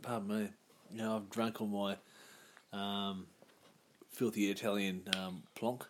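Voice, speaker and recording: A woman's voice: rumbling low, steady tone, measured pace, slight background noise. A woman co-hosting a casual, tipsy podcast chat, teasing her friend with dry, sweary quips. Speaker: podcast co-host.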